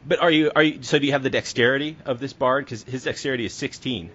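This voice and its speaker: A man's voice: mid-range voice, quick pace, a bit bright, husky. A man hosting a podcast, interviewing his guest in a casual conversational style. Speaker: podcast host and interviewer, a writer. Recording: dull lo-fi audio.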